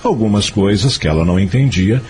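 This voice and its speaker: A man narrating a scripted audio drama in an expressive storytelling manner. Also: fairly low pitch, brisk.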